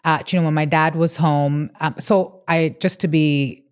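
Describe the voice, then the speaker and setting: A woman's voice: low voice, fairly even intonation, moderate pace, clear, resonant tone. A woman talking as a podcast guest in a casual interview, earnest and open, with frequent "yeah" and "you know" fillers. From grew up between Dubai and Toronto, Canada. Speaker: podcast guest, emotional eating coach.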